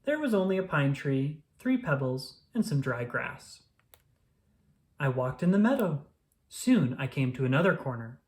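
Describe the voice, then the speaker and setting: Reader acting out calm male frog, storybook animal, encouraging, patient storyteller, mid-pitched, animated. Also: unhurried. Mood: gentle storytelling.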